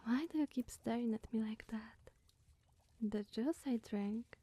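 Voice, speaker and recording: A woman plays a sweet young witch in an ASMR roleplay, bashful and scatterbrained. Her voice is fairly high yet mellow and breathy, often sinking into a whisper. She rambles slowly and coyly, with little musing hums. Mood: friendly, chatty. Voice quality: soft, breathy.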